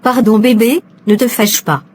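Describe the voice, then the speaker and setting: An actress playing a cautious, money-minded secret mistress in an animated soap drama, evasive and coaxing. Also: female voice, on the high side, normal speed, slight background noise, close to an everyday voice. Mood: apologetic, coaxing.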